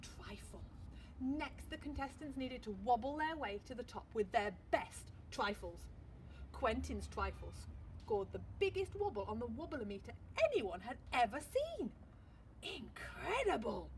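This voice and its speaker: Teacher lady reading a kids book, really lively voice, lots of dramatic pauses. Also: on the high side, slight background noise. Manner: expressive storytelling with dramatic pauses. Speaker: primary school teacher. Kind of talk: reading a picture book aloud.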